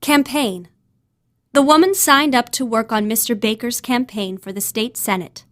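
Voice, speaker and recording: A woman's voice: a little high, moderate pace. A woman reading words and example sentences aloud in a scripted educational style as a vocabulary narrator.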